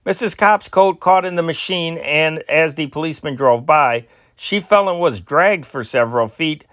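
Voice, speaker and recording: A man's voice: on the high side, normal speed, a bit bright, no room sound, studio quality. A man reading old newspaper stories aloud as an amateur news reader, stumbling and correcting himself now and then.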